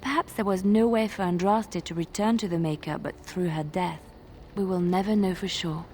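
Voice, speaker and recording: A devout young woman adventurer from a fantasy game, voiced by an actress, with a slightly low voice. She speaks earnestly and pensively, as if pondering her faith. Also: steady tone, moderate pace, warm tone, a little hiss or hum, lightly acted. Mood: pensive, reverent. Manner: earnest, reflective. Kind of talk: fantasy video game dialogue.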